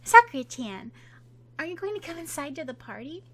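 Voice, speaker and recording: A woman voicing a cheerful, dreamy teen girl who chatters in a bright, high voice. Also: very animated, brisk, slight background noise. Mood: chattering.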